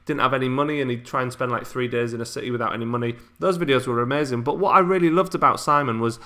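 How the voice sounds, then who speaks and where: Guy, bright voice, medium pitch, chatty q&a vlog, lots of ums. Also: rapid-fire. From England. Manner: chatty, informal, frequent ums and restarts. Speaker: YouTuber. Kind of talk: casual subscriber Q&A.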